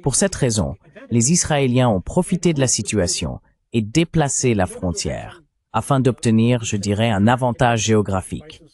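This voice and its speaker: A man reading a measured, explanatory French voice-over of an interview. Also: medium pitch, lively intonation, a little mellow. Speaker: translation voice-over.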